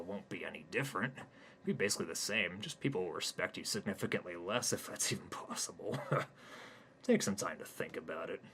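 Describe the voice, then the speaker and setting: A man voicing a condescending male doctor who sneers and belittles his patient loudly. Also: sits low, slight background noise.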